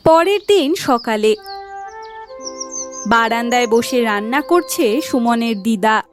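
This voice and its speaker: A woman's voice: on the high side, expressive, slow pace, faint music behind. A woman reading scripted narration for a cartoon story.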